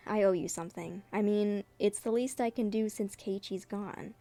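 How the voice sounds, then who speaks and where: Woman reading as a low-key, reserved young comic guy. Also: steady tone, normal speed, mellow, lightly acted. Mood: earnest, low-key. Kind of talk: amateur dramatic comic reading.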